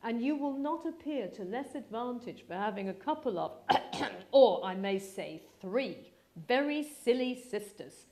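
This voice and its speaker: Woman as middle-aged gentleman father, storybook reading, wry, affectionate, dry teasing manner, measured, unhurried authority. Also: a little high, animated. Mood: wry, placating.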